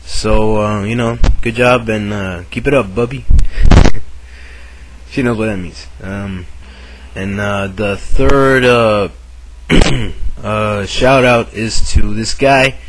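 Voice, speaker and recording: A man talks casually to camera while sick with a cold, his voice raspy from a sore throat. He speaks in a low register and keeps stumbling through fillers and restarts. A faint hiss of noise sits behind him. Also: fairly even intonation. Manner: halting, full of fillers and restarts. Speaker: video maker.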